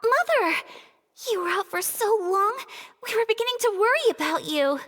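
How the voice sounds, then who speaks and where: A very high, bright girl's voice, sweet and anxious. She plays a vulnerable young daughter in a game drama, speaking slowly, with long reverb and music underneath. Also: lively intonation. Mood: anxious, relieved. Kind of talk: dramatic video game voice acting.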